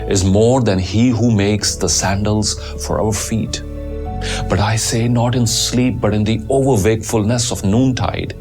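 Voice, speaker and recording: A man reciting a poem and giving a motivational talk in a slow, deliberate, earnest way. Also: lowish voice, darkish tone. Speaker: motivational speaker. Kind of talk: poetry reading and motivational talk.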